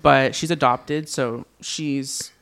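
A man's voice: mid-range voice, steady tone, slow, gravelly, a bit bright, clean tone. A man in his twenties from Southern California, a podcast guest telling his family story in an easygoing way, with many ums and frequent laughs. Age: 20s. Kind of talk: casual conversational interview.

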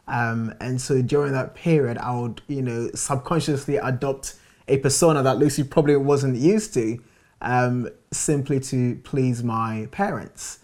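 Man, calm reflective testimony, mid-pitched, measured and thoughtful. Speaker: married guest sharing his experience.

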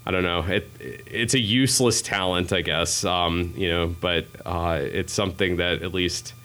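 A man's voice: deep voice, steady tone, unhurried, pushed and bright. A man from New England, a podcast guest, telling casual stories with many fillers and restarts. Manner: rambling, self-deprecating, frequent fillers and restarts. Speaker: podcast guest, healthcare digital strategist. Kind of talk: casual interview storytelling.

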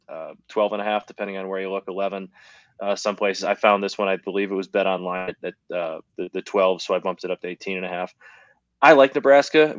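A man co-hosting a sports betting podcast, struggling with his voice and talking through picks in a rapid, rambling way. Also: on the low side, no echo. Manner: rapid, rambling, restarts often. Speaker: podcast co-host. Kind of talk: casual sports betting chat. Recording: slightly dull lo-fi audio.

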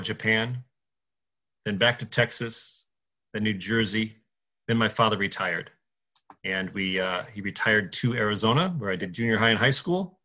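A man with a bright, ringing voice talks as a podcast guest, telling stories at an easy pace with plenty of ums. He sounds casual and unhurried. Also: lowish voice. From born in Turkey, raised in the US. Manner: relaxed, rambling, with fillers. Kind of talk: casual storytelling.

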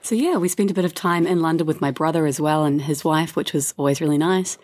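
Low-voiced woman chatting on a podcast. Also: fast talker, darkish tone, dry recording. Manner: chatty storytelling with laughs and fillers. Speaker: podcast co-host. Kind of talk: casual travel chat.

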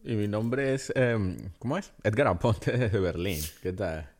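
A man, co-hosting a casual film podcast, relaxed and joking, often answering with soft interjections. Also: fairly low pitch, unhurried. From Venezuela. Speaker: podcast co-host.

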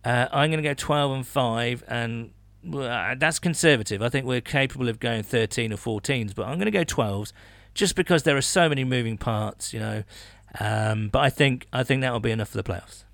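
A man's voice: medium pitch, normal speed, vocal fry, a bit bright, no echo. A British man hosting a sports podcast, chatting casually with rambling asides and reading out listener messages. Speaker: podcast host.

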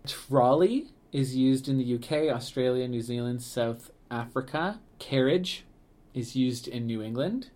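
A man's voice: mid-range voice, unhurried, darkish tone. A man co-hosting a podcast in Canada, talking in a chatty, rambling way with lots of fillers, restarts and laughs. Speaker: podcast co-host, museum staff. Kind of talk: casual podcast chat.

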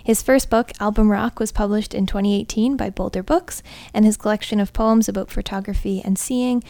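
A woman's voice: medium pitch, fairly even intonation, fairly quick, vocal fry, mellow. A woman co-hosting a podcast in a casual style. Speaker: podcast co-host.

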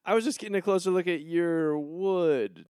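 A man's voice: high voice, moderate pace, darkish tone, clean tone, no echo, studio quality, lightly acted. A man voicing a cocky, spoiled, swaggering seventeen-year-old rich boy vigilante in a cartoon. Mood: flustered. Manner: swaggering, bratty. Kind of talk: cartoon voice acting.

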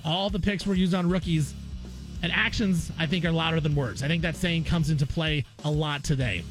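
High-voiced man ranting on sports radio. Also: normal speed, over music, low-quality recording. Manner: emphatic, argumentative, repeats phrases for effect. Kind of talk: opinionated sports talk monologue.

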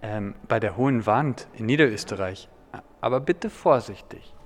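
A man playing an eager, proud young dinosaur enthusiast in a children's educational audio drama, expressive and wide-eyed. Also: on the low side, normal speed, lightly acted. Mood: careful.

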